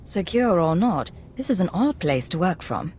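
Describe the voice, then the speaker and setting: An actress playing a cool, probing Imperial secret agent in a video game, controlled and terse. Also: female voice, fairly low pitch, sing-song, normal speed, a little mellow, slight background noise. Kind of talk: video game dialogue acting.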